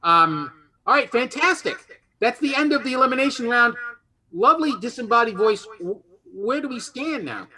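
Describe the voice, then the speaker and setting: A man with a high, very bright voice hosts a game show. He talks off the cuff and jokes with the players as he moves things along. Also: animated, normal speed, low-quality recording. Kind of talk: unscripted, joking game show hosting.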